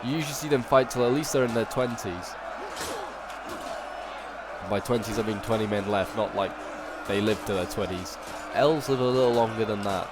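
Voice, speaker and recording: A man's voice: on the low side, moderate pace, pauses often, a crowd in the background, background noise. Stuffy-nosed man commentating a strategy game. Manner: chatty and wry, with frequent ums and self-corrections. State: stuffy with a cold. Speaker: game channel host commenting a battle replay.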